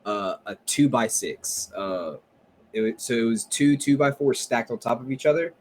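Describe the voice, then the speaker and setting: A man's voice: mid-range voice, normal speed, darkish tone, a little hiss or hum. A man co-hosting a casual podcast from home, joking often, laughing easily and swearing freely. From United States. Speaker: podcast co-host. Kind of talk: casual chat. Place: home, remote recording. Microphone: home podcast mic.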